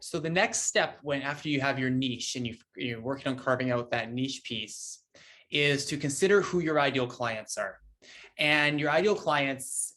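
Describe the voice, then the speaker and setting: A man's voice: medium pitch, fairly bright tone. A man co-presenting an online business workshop, explaining step by step and putting questions to his listeners. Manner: explanatory, poses questions to the audience.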